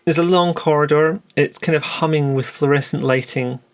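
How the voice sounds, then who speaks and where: Creaky-voiced game master, higher-pitched man. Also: steady tone, normal speed. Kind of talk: improvised tabletop game narration and casual table talk.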